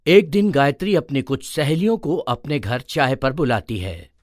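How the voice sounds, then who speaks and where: A man reads scripted story narration in a mid-range voice. His intonation is very lively as he tells the tale, and the recording is dry, with no room sound. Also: medium pitch, normal speed, no room sound.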